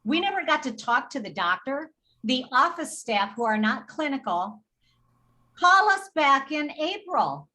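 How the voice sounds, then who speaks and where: A woman guest on a podcast interview, telling an animated story in quick bursts of detail and acting out what others said. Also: sits high. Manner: animated, re-enacts dialogue while telling a story. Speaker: healthcare advocate guest.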